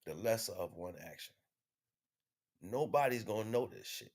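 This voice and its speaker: A man delivering an impromptu spiritual monologue in an emphatic, repetitive, slangy street-preacher manner, with a husky voice. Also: fairly low pitch, animated, moderate pace, darkish tone. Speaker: spiritual teacher streaming online.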